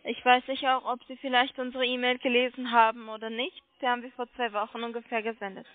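A woman with a high-pitched voice makes a sales call. She is polite but persistent, coaxing the listener with questions. The sound is a dry, narrow telephone line. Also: level tone, fast, no echo. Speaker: telemarketing caller selling online trading. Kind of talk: sales pitch over the phone. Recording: muffled phone line.

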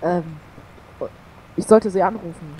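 A man's voice: high voice, measured pace, crowd noise, pitched above the performer's own voice. A man voicing a laid-back male farmer on a roleplay phone call, drawling with hesitant fillers. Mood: offhand.

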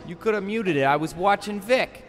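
A man's voice: sits high, brisk, close to an everyday voice. A man playing a cocky, grumbling guard in casual audio drama banter, with echoing reverb. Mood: grumbling, defensive. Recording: long echoing hall reverb.

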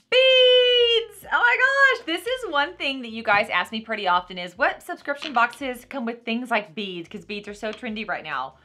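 Bright-voiced Texan woman, gushing and animated. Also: a little high, moderate pace. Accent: Texan American. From Texas, United States. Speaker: unboxing video host. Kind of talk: casual product unboxing chat. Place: small decorated room at a table, indoors.

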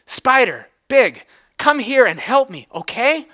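Man putting on an urgent high-pitched spider mother. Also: big pitch swings, takes it slowly, bright, forceful tone, heavily acted. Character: brave, anxious female spider mother.

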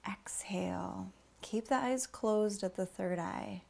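A woman's voice: medium pitch, vocal fry, darkish tone. Whispery woman guiding a breathing meditation. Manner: slow soothing coaching, often whispered. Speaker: breathwork instructor.

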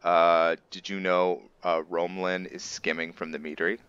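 A man giving casual video game commentary as a roleplay host, halting as he thinks aloud. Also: deep voice, flat delivery, fairly bright tone, clean tone, muffled recording. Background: game music.

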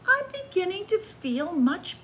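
A woman voicing a gentle, weary elderly male zookeeper with a cold in a picture book read aloud, drawing the words out slowly. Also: extremely high pitch, big pitch swings, slight background noise, pitched above the performer's own voice, exaggerated voice. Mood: kindly.

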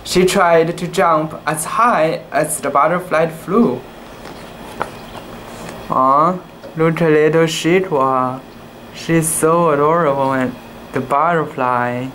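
A young man reading a children's book aloud in a casual, halting way, with cooing asides about the pictures. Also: fairly high pitch, a bit bright, a little hiss or hum, low-quality recording. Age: young adult.

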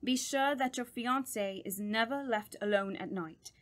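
A woman playing a grave, scholarly doctor of the supernatural in an audio drama, with a formal, deliberate and ominous manner. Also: on the high side, close to an everyday voice. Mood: grave warning.

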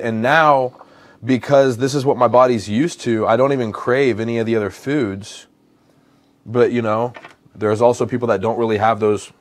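A man's voice: on the low side, no echo. A man in his thirties with an American accent, chatting conversationally in a casual vlog and repeating phrases for emphasis. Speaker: lifestyle vlogger. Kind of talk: casual vlog chat while cooking. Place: home kitchen, indoors.

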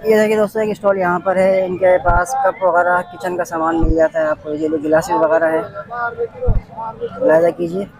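A man's voice: sits high, level tone, moderate pace, a little mellow, rough recording. A man vlogging a walking tour of an outdoor street market, politely pointing things out to viewers. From Pakistan. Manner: polite, addresses viewers as friends. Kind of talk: casual walking tour vlog.

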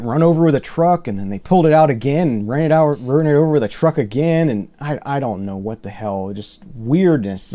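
A man in his thirties casually reviewing vinyl records to camera in a room lined with record shelves, talking in a loose, rambling way with lots of fillers and restarts. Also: medium pitch. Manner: relaxed, rambling, many fillers and restarts, mildly exasperated. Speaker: vinyl collector vlogger.

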